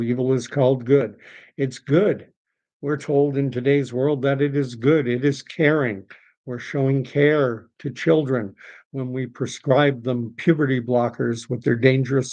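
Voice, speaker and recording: A middle-aged man speaks at an ordinary middle pitch, worn out and hoarse late into a night stream. He rambles through viewer messages and gives his blunt opinions from a bare-bones home setup. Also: darkish tone. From Canada. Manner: rambling, opinionated, reads out and answers chat messages. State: tired, voice going hoarse. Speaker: livestream host reading viewer chat. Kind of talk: late-night solo livestream commentary.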